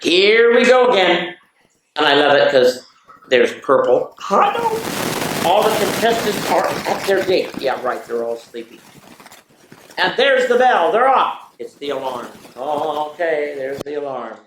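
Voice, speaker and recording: A woman hosts story time for children in a roomy, echoing space. She reads picture books aloud at a very unhurried pace, drawing out key words and slipping in informal comments of her own. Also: low voice, big pitch swings, slight background noise. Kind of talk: reading picture books aloud with chatty asides. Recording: echoey, roomy sound.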